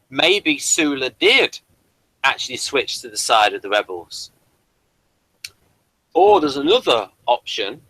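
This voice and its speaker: Bright-voiced man rambling through a review. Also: medium pitch, no echo, low-quality recording. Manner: hesitant, rambling, apologetic. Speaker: host of a TV review show. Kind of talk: casual review discussion.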